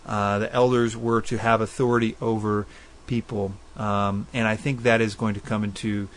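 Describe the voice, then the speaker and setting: A man hosts a faith-based call-in style radio program and works through listener questions in a relaxed teaching voice. He is earnest and thoughtful. He weighs his points as he goes and lays them out plainly. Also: lowish voice. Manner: earnest and thoughtful, spells out addresses slowly. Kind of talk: casual explanatory Bible teaching.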